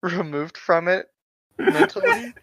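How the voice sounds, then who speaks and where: A man's voice: high voice, wildly swooping pitch, slow, a bit bright, dry recording. A man reading aloud casually and chatting as a comedy co-host, with wry, rambling asides. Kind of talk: casual read-aloud with joking banter.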